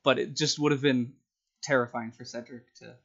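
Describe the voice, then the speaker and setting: A man with a mid-pitched voice, caught on a dull, lo-fi recording. He talks casually as a storyteller, walking through plot ideas and briefly acting out what characters would say. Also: fairly even intonation, normal speed, creaky, a bit bright. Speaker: storyteller and tabletop game player. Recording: dull, lo-fi sound.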